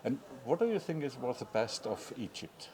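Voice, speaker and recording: A man's voice: mid-range voice, big pitch swings, normal speed, a little mellow. A man interviewing a former student, asking short questions with hesitant fillers.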